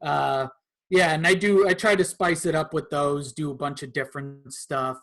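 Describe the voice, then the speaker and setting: A man's voice: fairly high pitch, steady tone, normal speed, vocal fry, a bit bright. A man chatting casually and informally as a podcast guest about his own creative work, swearing now and then. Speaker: podcast guest, horror audio drama creator.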